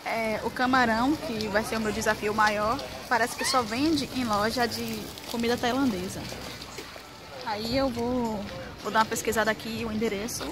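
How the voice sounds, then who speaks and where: A woman's voice: on the high side, steady tone, normal speed. A young woman from Salvador with a Bahian Brazilian accent, chatting casually and informally to a handheld camera as a vlogger. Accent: Bahian Brazilian Portuguese. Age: young adult. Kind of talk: casual vlog chat to camera. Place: at home and walking on city streets. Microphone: handheld camera at arm's length. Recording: noisy handheld recording with ambient noise.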